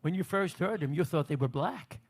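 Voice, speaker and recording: Guy hosting a chat with old singers, kinda high voice, goes on and on about the old days. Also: expressive, fairly quick, creaky, a little hiss or hum. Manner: talkative, explains music business history at length. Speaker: interviewer. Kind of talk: casual interview chat. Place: on a cruise ship.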